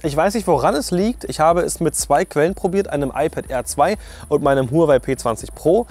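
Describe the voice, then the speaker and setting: A man's voice: fairly high pitch, expressive, a little fast, a little mellow. A man giving a casual, colloquial and opinionated product review as a sound technician.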